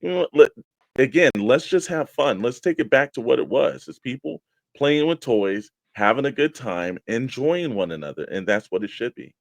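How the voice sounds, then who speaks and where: A man with an average-pitched voice co-hosts a casual chat show. He talks informally and tends to ramble from point to point. The sound is dry, with very little room in it. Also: lively intonation, moderate pace, vocal fry, dry recording. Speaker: show co-host. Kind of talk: casual chat about toy collecting.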